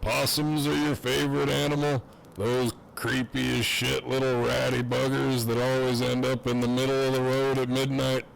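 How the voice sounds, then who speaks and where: A man's voice: medium pitch, little pitch movement, moderate pace, gravelly, slight background noise, low-quality recording. A man playing a cocky, crude prisoner who teases in wry, swaggering banter in an audio drama. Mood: teasing. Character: cocky, crude, teasing imprisoned man on a walkie-talkie. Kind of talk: fan audio drama acting.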